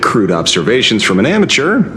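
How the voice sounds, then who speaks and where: An actor playing a sly, cynical older detective in a video game, with a theatrical, sardonic drawl, recorded with music underneath. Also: male voice, medium pitch, huge pitch swings, brisk, background noise. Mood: mock humble. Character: sly, cynical old male detective and mercenary dealer.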